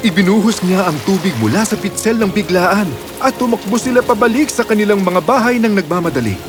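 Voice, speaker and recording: A man's voice: high voice, expressive, fairly quick, loud music behind the voice. A man narrating a scripted children's fairy tale with a dramatic storytelling delivery.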